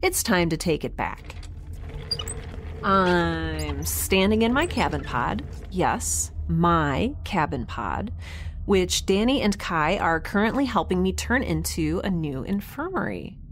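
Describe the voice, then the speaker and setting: Woman acting flustered young space scientist gal, sardonic and rambly, lowish voice, some background noise, audio drama. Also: very animated, takes it slowly, full character voice. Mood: chatty, wry. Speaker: narrator and lead of a sci-fi audio drama.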